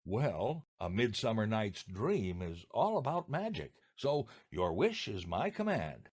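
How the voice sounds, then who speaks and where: An older American man with a slightly low, very animated voice. He co-hosts a podcast, trading scripted banter in a jokey, theatrical way. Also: unhurried, slightly rough. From New Jersey, United States. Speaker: podcast co-host.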